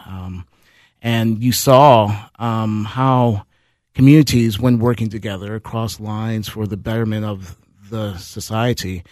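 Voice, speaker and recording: A man on a radio talk show, a nonprofit director, telling his story in a relaxed way with many "ums" and "you knows" and easy laughs. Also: lowish voice, no echo. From Minnesota, North Side of Minneapolis. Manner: laughs easily. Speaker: guest, managing director of a nonprofit.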